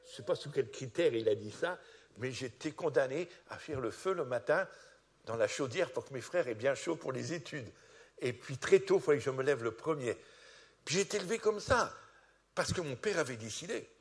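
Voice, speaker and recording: Animated French-speaking pastor, lively pitch. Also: male voice, moderate pace. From France. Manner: animated, rising to loud peaks. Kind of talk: testimony sermon.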